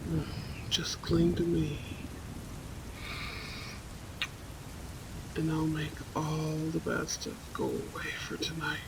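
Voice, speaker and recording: A man's voice: fairly high pitch, little pitch movement, measured pace, vocal fry. A man playing a handsome, protective, flirtatious vampire in an intimate ASMR roleplay, murmuring soothingly and often near a whisper. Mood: tender, flirtatious. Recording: faint storm noise behind. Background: rain and thunder.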